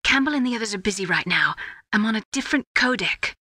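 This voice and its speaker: A woman voicing a secretive, sorrowful geneticist in a video game, halting and confessional. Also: mid-range voice, expressive, sharp tone, no echo, studio quality. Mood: urgent. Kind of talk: dramatic video game acting. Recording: music behind.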